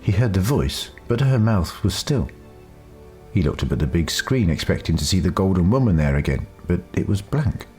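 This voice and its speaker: A male narrator reads a scripted story in a low-pitched voice. The delivery is that of an audiobook, with music playing behind him. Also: very animated, moderate pace, a little mellow.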